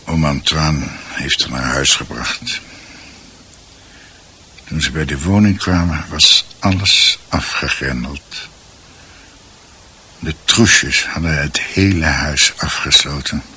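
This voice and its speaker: An actor playing a grave man who earnestly and deliberately recounts a family's past in a radio drama. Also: very deep voice, pushed and bright, breathy edge, exaggerated voice.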